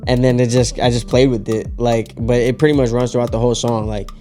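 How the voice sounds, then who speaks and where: A man's voice: mid-range voice, quick pace. A man producing music, chatting casually about making a song, rambling with fillers and slang. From Puerto Rican heritage. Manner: relaxed, rambling, lots of fillers and "bro". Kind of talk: casual chat.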